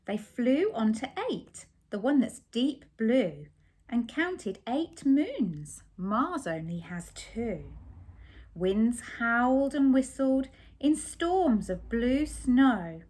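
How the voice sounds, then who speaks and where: A woman's voice: on the high side, big pitch swings, slow pace, a little mellow. A woman reading a picture book aloud expressively, putting simple questions and explanations to young children. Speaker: children's story reader.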